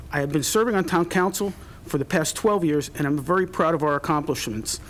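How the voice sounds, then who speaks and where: A middle-aged man reads his campaign statement at an even, steady pace. His voice sits a little higher than most men's, and a faint hiss runs behind it. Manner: steady, read-from-notes delivery. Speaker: council candidate. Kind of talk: prepared opening statement.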